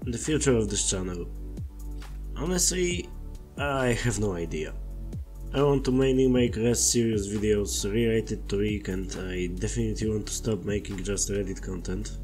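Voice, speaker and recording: A man's voice: fairly low pitch, normal speed, a little mellow. A man with a Polish accent, a non-native English speaker, narrating a scripted video essay. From Poland. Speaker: video creator. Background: background music.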